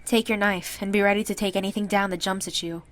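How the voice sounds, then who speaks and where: A woman's voice: medium pitch, steady tone, quick pace, dry recording, natural voice. A woman playing a guarded, irritable young survivor in a zombie apocalypse audio drama, with a blunt, curt way of talking. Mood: brisk, impatient. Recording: slightly noisy amateur recording with ambience.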